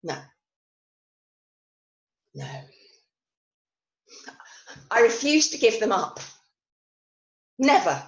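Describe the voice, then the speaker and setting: An actress as a slow, wistful woman storyteller, echoey room. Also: fairly high pitch, sing-song, lo-fi sound, heavily acted. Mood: defiant. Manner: theatrical, emphatic storytelling with deliberate pauses. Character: self-critical woman recovering from a car crash, wry and wistful. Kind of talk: dramatic monologue reading of new writing. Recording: roomy, echoing online recording.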